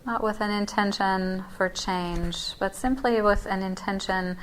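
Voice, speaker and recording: A woman yoga teacher guiding a body scan meditation in a yoga class, speaking softly and gently, her words often trailing into near-whispers. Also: medium pitch, steady tone, unhurried, slight background noise, low-quality recording.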